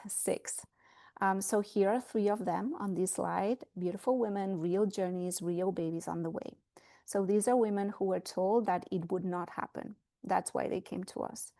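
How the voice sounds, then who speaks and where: A woman's voice: on the low side, gravelly, dark tone, no echo, studio quality. A woman with a Spanish accent presenting a webinar as a fertility coach, earnest and emphatic, repeating words for stress. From Spain.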